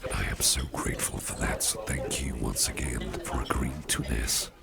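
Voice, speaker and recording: A man playing an attentive, courteous businessman boss in a soft ASMR roleplay, speaking in a breathy near-whisper with a gentle, reassuring manner. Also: a bass voice, fairly even intonation, normal speed, over loud music, exaggerated voice. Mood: tender, appreciative.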